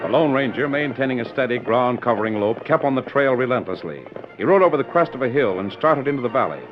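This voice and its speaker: A man with a mid-pitched voice gives formal, dramatic narration for an old radio western. The recording is muffled, with clear music behind him. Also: animated, fast.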